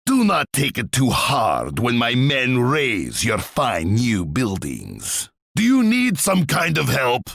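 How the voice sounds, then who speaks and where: An actor playing a scheming, imperious Russian tsar in a video game, pompous and menacing, savouring each taunt in a gravelly, raspy voice. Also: male voice, mid-range voice, wildly swooping pitch, slow, hardly any pauses, fairly bright tone, no room sound, full character voice. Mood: scornful, imperious. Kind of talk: theatrical strategy game taunts.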